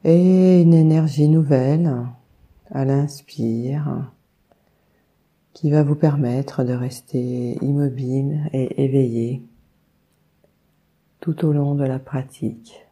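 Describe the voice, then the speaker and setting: A woman leading a guided meditation, giving calm, soothing instructions with long unhurried pauses. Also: extremely low pitch, highs cut off. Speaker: yoga nidra meditation guide.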